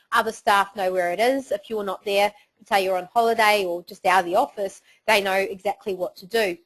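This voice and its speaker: A woman's voice: medium pitch, fairly bright tone, poor audio. A middle-aged woman with a New Zealand accent presenting a business webinar, chatty and encouraging with frequent fillers.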